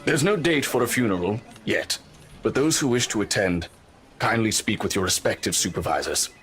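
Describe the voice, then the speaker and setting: A man's voice: lowish voice, expressive, moderate pace, fairly bright tone, soft background music. An actor playing a formal, curt office boss addressing his staff in a visual novel game. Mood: solemn, brisk. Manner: formal, clipped, authoritative.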